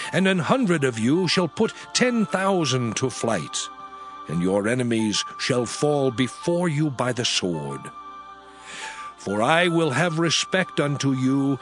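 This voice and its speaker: Slow, solemn male scripture reader over music. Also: mid-range voice. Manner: solemn, measured, declamatory. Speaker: scripture narrator. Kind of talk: dramatized Bible reading. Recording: music under the voice. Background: prominent music.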